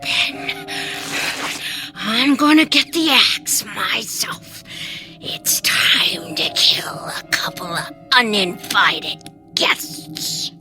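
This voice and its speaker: A woman's voice: medium pitch, very animated, drawn-out words, over music, exaggerated voice. A woman playing a frightened, wounded wife in a suspense audio drama, fierce and distraught as she faces small intruders. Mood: grimly vengeful.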